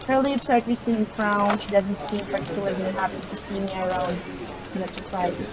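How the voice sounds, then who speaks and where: A woman's voice: medium pitch, level tone, brisk, noisy background. A woman reading a visual novel's first-person story aloud, sometimes stumbling over words.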